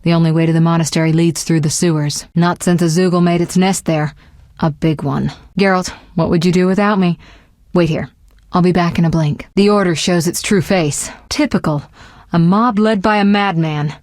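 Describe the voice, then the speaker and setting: A woman playing a composed, caring and resolute sorceress in a fantasy video game, with a measured, articulate and earnest delivery. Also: fairly low pitch, steady tone, a little mellow, no echo, studio quality, lightly acted. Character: composed young adult sorceress, caring, sharp-witted, resolute.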